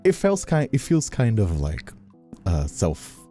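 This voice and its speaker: A man's voice: fairly low pitch, wildly swooping pitch, measured pace, dark tone. A young adult man chatting casually on a livestream, rambling, stammering and repeating his words. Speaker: streamer and ASMR creator. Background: background music.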